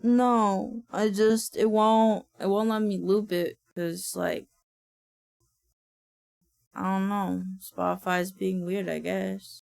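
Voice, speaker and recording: A sleepy woman talks slowly and casually into a close mic. Her voice has a gravelly, raspy edge, and she swears and laughs easily. The room sounds dry and close. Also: mid-range voice, little pitch movement, a little mellow, dry recording. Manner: chatty, swears and laughs often. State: tired. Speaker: streamer. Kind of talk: casual livestream chat.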